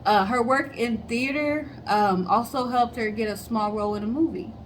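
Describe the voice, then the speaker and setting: A woman's voice: on the high side, normal speed, slight background noise, poor audio. A woman co-hosting a casual chat and storytelling show in an upbeat, friendly way. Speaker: co-host.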